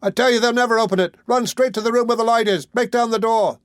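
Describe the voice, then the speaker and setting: A man's voice: fairly quick, no echo, studio quality, lightly acted. A man voicing a terrified but defiant boy who shrieks for help in a storybook reading. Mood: shrieking. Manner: shrill, frantic, shouting. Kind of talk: audiobook character voice.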